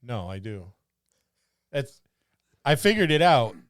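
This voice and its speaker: A man's voice: mid-range voice, big pitch swings, takes it slowly, smooth, no room sound. Middle-aged man, gaming podcast co-host. Clean resonant tone, wry joking delivery, tight dry recording. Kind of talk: casual joking banter about tabletop gaming.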